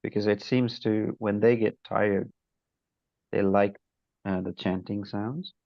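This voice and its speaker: A man from Nepal chatting with his teacher on a call, hesitant, with many fillers and soft laughs. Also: on the low side, fairly even intonation, slow, vocal fry, no room sound, lo-fi sound. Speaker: student. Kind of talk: casual chat with teacher.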